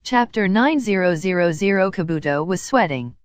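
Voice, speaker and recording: A woman's voice: fairly low pitch, lively intonation, moderate pace, dry recording, soft background music. A woman narrating a piece of fan fiction as a scripted audiobook-style reading.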